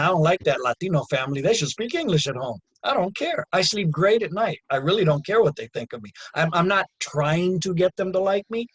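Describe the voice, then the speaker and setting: A man's voice: fairly high pitch, breathy edge. A man giving closing remarks on a webinar over a video call, earnest and growing emphatic. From United States. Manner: earnest, gets emphatic and raised. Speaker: community organizer.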